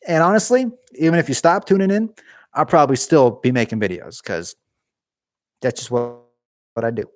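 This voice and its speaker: A man's voice: mid-range voice, moderate pace, dry recording, clean studio sound. A young man chatting casually on a live stream from his garage, rambling and joking with viewers, his voice getting worn. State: losing his voice.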